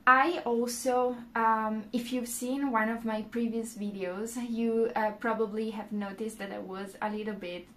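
A young woman with an Italian accent talking casually to the camera as a knitting YouTuber, unhurried and full of "uh" fillers. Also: on the high side, little pitch movement. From Italy. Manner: unhurried, many "uh" fillers, gestures with hands. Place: small room indoors.

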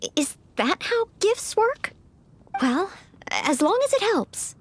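Very high-pitched earnest maid knight girl. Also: very animated, slow, slight background noise, full character voice. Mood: earnest, helpful. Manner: polite, hesitant, sweet. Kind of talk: English anime-style game voice acting.

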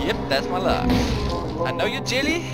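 Rambling South African gamer guy over loud music. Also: high voice, sing-song, normal speed. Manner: rambling, self-deprecating. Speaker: gaming video host. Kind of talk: casual unedited gameplay commentary. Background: loud game music.

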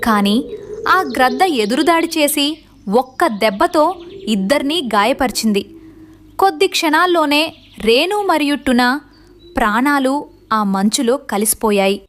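Casting: female storyteller for kids' cartoon, high-pitched, scripted narration, slightly noisy track. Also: expressive, normal speed, pauses often, a bit bright.

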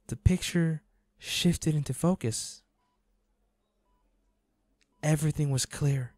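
Guy playing a devoted hunter boyfriend in an audio roleplay, really slow and mellow, halting and pleading, dry close sound. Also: fairly high pitch, no echo. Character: devoted, grieving young male hunter, werewolf's boyfriend. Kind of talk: intimate dramatic monologue to an injured lover.

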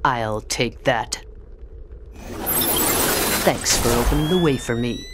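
A woman playing a cold, gloating undersea villain in a video game, taunting in a drawn-out manner. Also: extremely low pitch, very noisy. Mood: mocking triumph.

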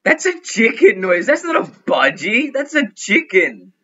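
A young man giving chatty, excitable commentary while playing a game, thinking out loud as he goes. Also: high-pitched, measured pace, breathy edge, highs cut off. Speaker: gaming youtuber. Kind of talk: casual gameplay commentary.

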